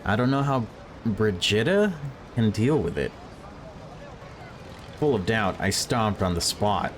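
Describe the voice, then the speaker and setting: A man with a fairly low voice reads a story game aloud in an expressive, casual way. His delivery moves between narration and wry, joking commentary. There is some noise behind his voice. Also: slow. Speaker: video game let's player reading the game aloud.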